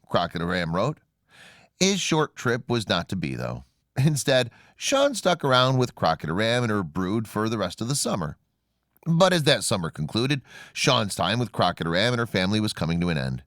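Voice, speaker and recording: A man's voice: fairly low pitch, fairly bright tone, no room sound, studio quality. A man narrating a scripted human-interest story with a polished, dramatic storytelling delivery.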